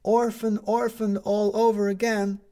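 A man voicing a young boy newspaper seller who shouts headlines and talks back boldly. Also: high-pitched, little pitch movement, unhurried, pitched above the performer's own voice. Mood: shouting headlines.

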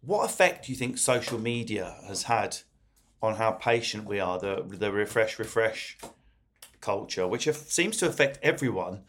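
A man's voice: on the low side, lively intonation, normal speed, a bit bright. A man hosting a casual podcast chat, brash and jokey, swearing freely and cutting in. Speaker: podcast host.

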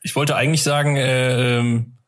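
A man's voice: mid-range voice, little pitch movement, moderate pace. A man hosting a podcast in an informal, colloquial chat with a jokey manner. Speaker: podcast host. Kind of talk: casual chat.

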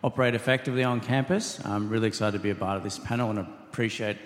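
Even-toned man in echoey hall. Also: fairly low pitch, quick pace. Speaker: operations manager on a panel. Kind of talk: panel self-introduction.

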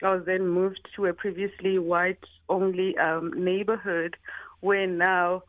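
A woman from South Africa in her forties, an entrepreneur speaking in a measured, thoughtful way with frequent pauses. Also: lowish voice, little pitch movement, no room sound. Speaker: entrepreneur and company founder. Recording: tinny phone line.

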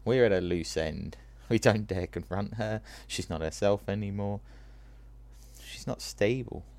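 Worried parent on the news, lowish croaky voice, bit of background noise. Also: male voice, a little slow, darkish tone. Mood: worried, baffled. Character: alarmed, helpless parent of troubled daughter. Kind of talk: news vox-pop interview.

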